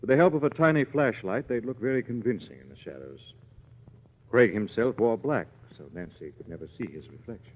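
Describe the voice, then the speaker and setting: An actor playing an assured gentleman detective who explains patiently, in 1940s radio drama style. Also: mid-range voice, expressive, a little fast, phone-quality sound, lightly acted. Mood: calm, explaining. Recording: thin, band-limited old radio recording.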